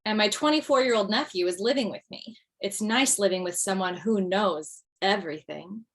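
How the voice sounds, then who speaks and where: A woman's voice: medium pitch, normal speed, a bit bright, lightly acted. A woman playing a self-deprecating mother returning to stand-up, delivering wry and hesitant lines in a table read. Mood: wry, candid. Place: video call from home.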